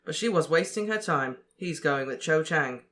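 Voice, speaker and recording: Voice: mid-range voice, animated, moderate pace, fairly bright tone, slight background noise, natural voice. A reader voicing an awkward teenage boy who stammers and hesitates, in an audiobook. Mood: earnest.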